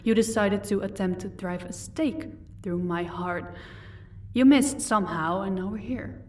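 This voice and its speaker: A woman's voice: mid-range voice, unhurried, a little mellow, distant mic, close to an everyday voice. A woman playing a proud, sardonic vampire captor in an audio roleplay, commanding and dryly sarcastic. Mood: cool, explaining.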